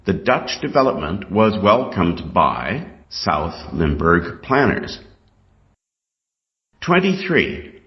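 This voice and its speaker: A Canadian man reading scripted test material aloud in a deliberate, instructional way. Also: low voice, sing-song. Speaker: reader of an English exam reading passage. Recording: dull, lo-fi sound.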